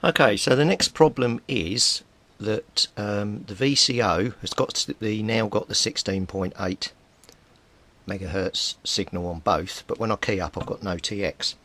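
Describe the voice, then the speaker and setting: Deep-voiced man, informal repair narration. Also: measured pace, bright, forceful tone. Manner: thinks aloud while working. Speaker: hobbyist radio repairer and video maker. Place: indoor cluttered home workbench. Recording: rumbly background noise.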